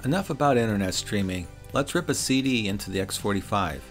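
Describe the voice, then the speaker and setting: A man's voice: fairly low pitch, lively intonation, fairly quick, faint music behind. A middle-aged man reads a scripted product review voice-over in a wry, opinionated way. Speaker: reviewer and host.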